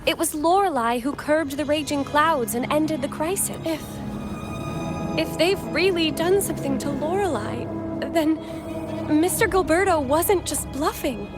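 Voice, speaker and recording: An actress playing an earnest, devout young woman of a religious order in a video game, speaking formally and in measured phrases. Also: high-pitched, loud music behind the voice. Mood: grave.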